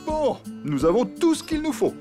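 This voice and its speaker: Boastful elephant dad, children's cartoon, hugely varied pitch, theatrical, reassuring, background music. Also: male voice, very animated, normal speed. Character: cheerful, boastful elephant dad, a clumsy handyman. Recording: music behind the voice.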